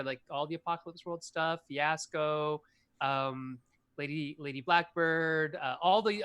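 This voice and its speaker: A man's voice: fairly high pitch, bright, forceful tone. A man in his forties, a game designer talking enthusiastically as an interview guest, rambling with lots of fillers. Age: 40s. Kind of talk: casual interview chat.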